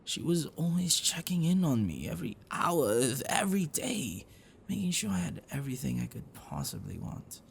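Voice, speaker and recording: A man playing a gentle, bookish dragon-blooded librarian boyfriend in an ASMR roleplay, speaking in a hesitant, caring way. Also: mid-range voice, vocal fry, slight background noise. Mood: wry, reflective. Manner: hesitant, soft, caring, explanatory.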